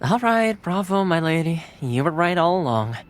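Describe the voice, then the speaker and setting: A man's voice: on the high side, very animated, moderate pace, a little hiss or hum. A man voicing a loyal young attendant in a video game, friendly and deferential toward his lady. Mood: approving. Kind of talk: video game character acting.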